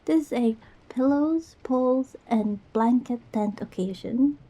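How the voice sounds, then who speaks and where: A woman playing a goofy, affectionate young girlfriend in an ASMR roleplay, talking tenderly and playfully to comfort a scared partner in a soft, breathy voice. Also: fairly high pitch, unhurried. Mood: cozy, caring. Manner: playful, rambling, tender, reassuring. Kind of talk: ASMR girlfriend roleplay, intimate one-sided dialogue.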